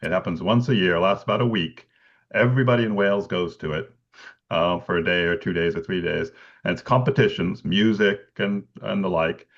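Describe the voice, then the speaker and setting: A male researcher speaking thoughtfully on a video call, pausing and restarting often with light laughs. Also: deep voice. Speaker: bookselling researcher guest. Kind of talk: conversational explanation. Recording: dull lo-fi call audio.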